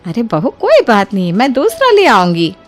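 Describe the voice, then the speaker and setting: Casting: actress as kindly older mother-in-law for moral-story cartoon, very lively intonation, motherly and colloquial, music underneath. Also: on the high side, normal speed. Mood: kindly scolding. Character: kind, generous older mother-in-law of the household. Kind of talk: acted Hindi moral story dialogue.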